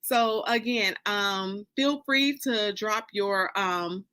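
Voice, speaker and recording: A woman's voice: mid-range voice, slow, sharp tone, smooth. An American woman, an author and host, casually addressing her viewers. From Detroit, Michigan, United States. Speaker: author hosting a reading.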